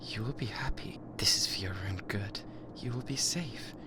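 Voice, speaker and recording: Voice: mid-range voice, moderate pace, natural voice. A performer with a British accent voicing a possessive, lovesick sentient AI in a binaural ASMR roleplay, with stilted formal phrasing that often slips into whispers. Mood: menacing, soothing. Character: possessive lovesick yandere spaceship AI, newly sentient. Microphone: close binaural microphone.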